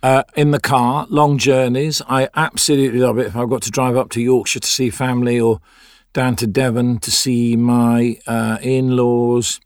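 A man's voice: medium pitch, level tone, moderate pace, no echo, clean studio sound. A middle-aged man, an actor, talking reflectively in an interview. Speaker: audio drama actor.

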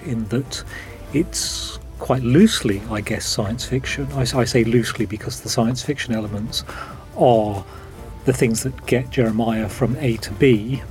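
A man's voice: medium pitch, darkish tone. Man recounting, with music behind. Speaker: audio drama producer. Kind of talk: behind-the-scenes interview account.

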